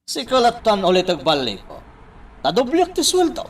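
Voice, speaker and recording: Man acting a high-pitched, deferential worker. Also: wildly swooping pitch, moderate pace. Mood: earnest, coaxing. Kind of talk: Ilocano radio drama acting.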